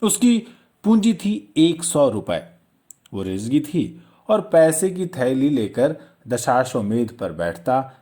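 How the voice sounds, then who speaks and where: Slow, expressive male storyteller. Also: mid-range voice. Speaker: audiobook narrator, storyteller. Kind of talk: expressive literary story narration.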